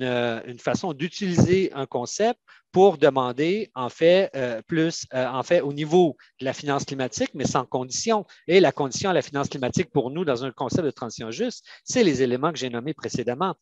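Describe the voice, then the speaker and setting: A man from Canada with a Quebec French accent, explaining methodically and haltingly as a union adviser answering questions in an online panel. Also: mid-range voice, lively intonation, darkish tone, dry recording, highs cut off.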